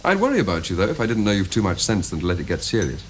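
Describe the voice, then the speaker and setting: An actor playing a breezy upper-class English officer, speaking in a jaunty, teasing way. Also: male voice, sits low, wildly swooping pitch, rapid-fire, a little hiss or hum, rough recording.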